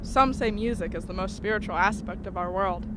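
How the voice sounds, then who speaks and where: A woman's voice: medium pitch, fairly quick, background noise, lightly acted. A woman playing a stern, insistent young goth occultist in an audio drama, earnest and ominous.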